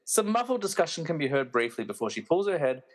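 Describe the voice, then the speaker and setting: Animated male narrator with wry delivery. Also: fairly high pitch, quick pace. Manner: wry, self-aware asides. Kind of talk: scripted comic narration of a radio play.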